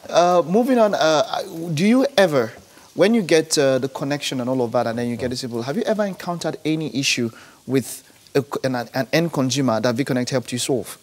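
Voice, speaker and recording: A man in his thirties hosting a studio interview on a TV morning show, asking questions and quick follow-ups. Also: medium pitch, expressive. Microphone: lapel.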